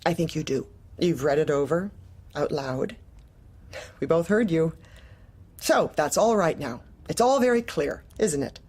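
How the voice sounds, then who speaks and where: Swooping, falsely pleasant manipulative boss. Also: female voice, deep voice, expressive, a little mellow, slightly rough, full character voice. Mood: veiled menace. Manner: deliberate, probing, politely menacing, repeats questions. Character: manipulative, heartless female office manager, falsely pleasant. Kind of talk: audio drama acting, dark comedy.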